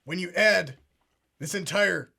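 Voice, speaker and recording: An actor playing a pompous conspiracy talk show host, earnest and solemn in a deadpan parody. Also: male voice, high-pitched, slow, vocal fry, breathy edge, full character voice. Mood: smug earnest.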